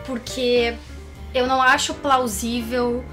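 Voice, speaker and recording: Casting: Brazilian woman, higher voice, explanatory and opinionated review co-host, music underneath. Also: little pitch movement, slow pace, a bit bright. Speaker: co-host of a film review show. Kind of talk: conversational film review and analysis. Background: background music.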